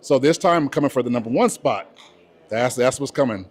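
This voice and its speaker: A man's voice: mid-range voice, lively intonation, fairly quick. A man in his forties from California, a bodybuilding coach, talking casually in an interview and often adding "you know" and "right?". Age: 40s.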